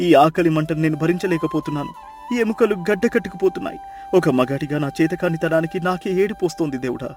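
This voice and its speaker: A man playing a young fisherman husband, good-humoured, devoted and emotional, with an expressive, dramatic storybook delivery. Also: fairly high pitch, fast talker, soft background music, close to an everyday voice. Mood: anguished pleading.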